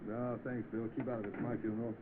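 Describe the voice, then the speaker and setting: An actor with a British accent playing a plain-spoken, defiant young chauffeur in an old film. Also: male voice, medium pitch, little pitch movement, fast talker, close to an everyday voice. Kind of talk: vintage film acting. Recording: tinny, noisy old film soundtrack.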